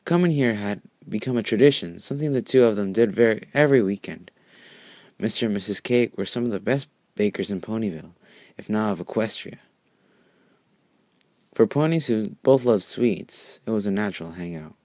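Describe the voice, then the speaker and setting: Low-voiced man reading fan fiction aloud. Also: expressive, moderate pace, darkish tone, no room sound. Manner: informal, stumbles over words. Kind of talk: amateur storytelling, reading aloud.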